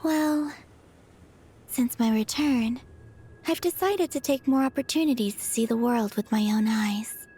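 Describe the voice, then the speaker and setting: An actress playing a serene, quietly curious young moon maiden in a video game, with a soft, calm, unhurried delivery. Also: female voice, fairly high pitch, a little hiss or hum. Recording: music behind the voice.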